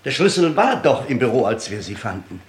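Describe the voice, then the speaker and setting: A man's voice: mid-range voice, very animated, brisk, natural voice. A man playing a nervous, defensive shopkeeper under questioning in a radio crime drama, hesitant and flustered. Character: nervous, unstable male shopkeeper, questioned husband of victim. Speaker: actor in a crime radio play.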